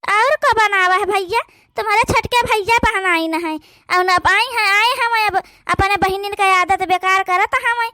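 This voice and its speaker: Shrill, sharp-tongued sister-in-law played by a woman. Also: measured pace, dry recording, studio quality, full character voice. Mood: complaining. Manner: complaining, taunting. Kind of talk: rural family cartoon dialogue.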